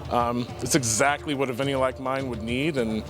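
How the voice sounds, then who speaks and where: Male technical director, mid-pitched, earnest and informal testimonial read as natural interview talk, music clearly underneath. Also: steady tone, moderate pace. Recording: music playing behind the voice. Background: background music.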